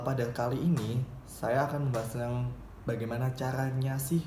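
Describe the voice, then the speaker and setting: A man's voice: medium pitch, a little mellow. Young man presenting in an echoey room. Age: young adult. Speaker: university student presenting a paper. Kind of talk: semi-formal explanatory class presentation. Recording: roomy echo, faint background noise.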